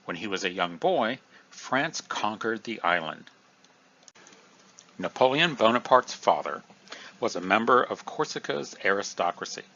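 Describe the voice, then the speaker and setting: Male teacher narrating a review lesson: low voice, animated delivery, slightly dull lo-fi sound. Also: unhurried. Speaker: history teacher.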